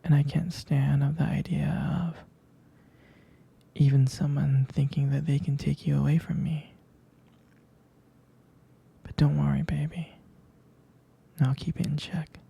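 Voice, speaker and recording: A man acts out a devoted, jealous boyfriend in an intimate ASMR roleplay. His voice is very dark and soft, full of gravelly fry. He confides slowly and tenderly, often dropping into a husky whisper. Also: a little high, level tone, exaggerated voice. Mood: reassuring, tender. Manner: slow, halting, tender, confiding. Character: protective, jealous, devoted young boyfriend soothing his partner.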